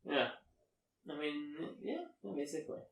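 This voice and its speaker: A man's voice: fairly high pitch, normal speed, creaky, a bit bright, recorded from a distance, a little hiss or hum. A young adult man co-hosting a podcast in casual, rambling, slangy and jokey chat. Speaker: podcast co-host. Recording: echoey room.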